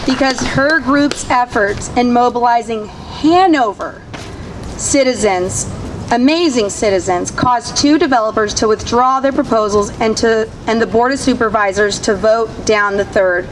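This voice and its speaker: A woman emcee in her thirties introduces the next speaker at an outdoor protest. Her high voice is hoarse and straining, but her manner stays warm and conversational. Street and traffic noise carry under her words. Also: unhurried. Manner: friendly, chatty introductions. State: losing her voice. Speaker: rally emcee. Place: outdoor rally. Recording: noisy outdoor rally.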